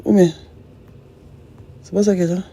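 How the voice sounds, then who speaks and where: A woman's voice: lowish voice, level tone, moderate pace, gravelly, dark tone. A woman in her thirties from Ivory Coast with an Ivorian French accent, chatting casually on a live stream in an emphatic, teasing way and firing rhetorical questions at her viewers. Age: 30s.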